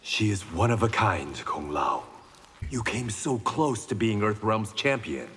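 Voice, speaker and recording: A man's voice: fairly low pitch, animated, a little slow, a bit bright, big-room sound, a little hiss or hum. An actor playing a stoic, dry-humored blind swordsman in a fighting game, terse and guarded. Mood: stern, dry. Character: stoic blind swordsman, reformed male criminal, proud and dry. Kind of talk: video game pre-fight banter.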